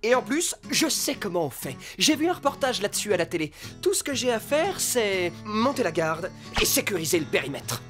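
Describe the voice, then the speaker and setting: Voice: mid-range voice, big pitch swings, fairly quick, few pauses, lightly acted. An actor voicing an earnest, boastful but nervous fox who poses as a guard dog in a French cartoon. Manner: earnest, self-important, eager. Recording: loud cartoon music behind.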